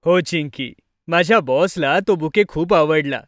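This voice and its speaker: A man's voice: a little high, unhurried, fairly bright tone. An affectionate cartoon father, friendly, agreeable and a little nervous. He chats to his children with music in the background. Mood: pleased, affectionate. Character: friendly, mildly nervous, obliging father of two.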